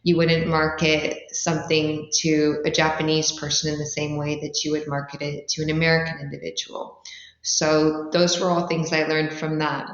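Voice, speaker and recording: A woman's voice: sits low, flat delivery, normal speed. A woman from the Netherlands giving articulate, explanatory answers as a startup founder in an interview. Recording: roomy, slightly dull sound.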